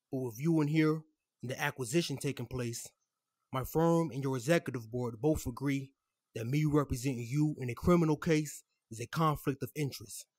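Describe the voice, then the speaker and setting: A man playing a composed, apologetic corporate lawyer in an audio drama, speaking in a measured, formal way. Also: mid-range voice, natural voice. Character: composed, apologetic corporate defense lawyer delivering bad news.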